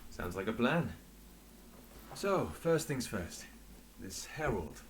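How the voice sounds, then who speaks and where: A earnest adventuring leader in a video game, with lively, varied intonation. He is firm and direct, and there is faint noise behind the voice. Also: medium pitch, slow. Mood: businesslike. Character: firm, earnest adventurer leading a party of companions.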